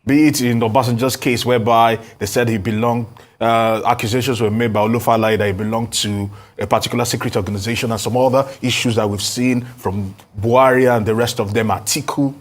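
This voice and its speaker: A man's voice: medium pitch, fairly even intonation, a little fast, few pauses. A man with a Nigerian accent hosting a panel interview and moderating between guests. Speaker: host.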